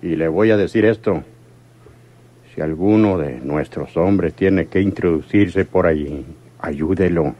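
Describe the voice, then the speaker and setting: A cold crime boss with a low voice and a Mexican accent, in an old radio serial. He gives orders deliberately and with full authority. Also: highs cut off. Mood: firm, instructing.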